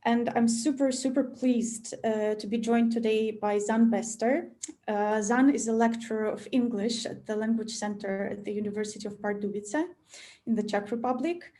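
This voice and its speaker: A woman's voice: fairly high pitch, fairly even intonation, normal speed, dark tone. A woman hosting a webinar discussion, speaking hesitantly with many fillers. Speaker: webinar host.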